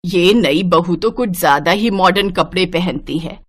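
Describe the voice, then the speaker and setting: A woman's voice: fairly low pitch, measured pace. A woman voicing a bossy, disapproving middle-aged mother-in-law in a cartoon story, scolding and domineering. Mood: worried, disapproving. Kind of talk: animated moral-story cartoon dialogue.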